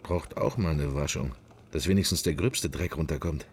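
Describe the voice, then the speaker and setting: Deep-voiced, musing everyman. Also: normal speed, a little mellow, slight background noise. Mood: wry musing. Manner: clipped, wandering interior monologue. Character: musing, wry middle-aged everyman thinking to himself. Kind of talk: intimate literary radio play monologue.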